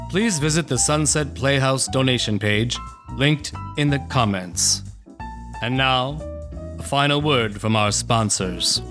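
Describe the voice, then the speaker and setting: A man announcing a radio drama in a formal, deliberate, scripted style. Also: medium pitch.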